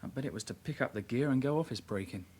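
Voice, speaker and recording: A man's voice: mid-range voice, fast, darkish tone, slightly rough, natural voice. A man playing a young detective constable in a radio drama, reporting in a clipped, matter-of-fact way. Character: young male detective constable, plain-spoken loyal side-kick.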